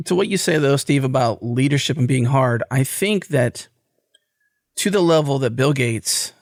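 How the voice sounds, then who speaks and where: A man's voice: mid-range voice, lively intonation, moderate pace, no room sound, clean studio sound. An American man co-hosting a casual interview, reflecting aloud in piecemeal clauses. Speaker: podcast co-host.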